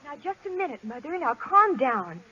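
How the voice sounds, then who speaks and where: Earnest high-voiced lovestruck young woman. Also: big pitch swings, moderate pace, pushed and bright, exaggerated voice. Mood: defensive. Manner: earnest, emotive. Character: lovestruck young woman, anxious daughter defending her fiance. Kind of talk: 1940s radio acting. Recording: muffled vintage radio recording.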